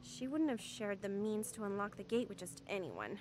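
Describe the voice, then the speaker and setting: A woman's voice: mid-range voice, very animated, moderate pace, a little mellow, slight background noise, natural voice, poor audio. A woman voicing a young female rodent sidekick in a game, sarcastic and exasperated. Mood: solemn.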